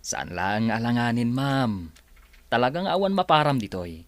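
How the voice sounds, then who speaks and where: A man's voice: medium pitch, sing-song, normal speed, dry recording, natural voice. An actor with an Ilocano accent playing a confident, polite young handyman in a radio drama. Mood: coaxing. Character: Ronnie, confident, respectful yet forward young handyman. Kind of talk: Filipino radio drama acting.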